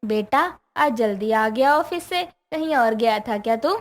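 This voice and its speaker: A woman's voice: fairly high pitch, lively intonation, unhurried. A woman playing a forthright, middle-aged mother-in-law in a story cartoon. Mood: concerned. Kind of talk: Hindi moral-story cartoon dialogue. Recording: background music under voice.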